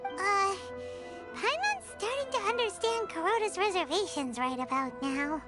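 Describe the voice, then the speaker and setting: A woman playing a tiny, childlike, chirpy fairy companion in a video game, with a squeaky voice and helpful manner. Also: animated, unhurried, over loud music. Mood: chirpy, eager. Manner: helpful, speaks of self in third person. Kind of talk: video game dialogue acting.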